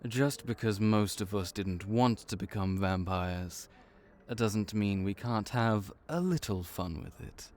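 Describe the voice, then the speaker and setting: A man's voice: on the low side, slow pace, darkish tone. A man voicing a laid-back vampire clerk and mentor in an audio roleplay, dry and wry as he speaks to the listener. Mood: easygoing.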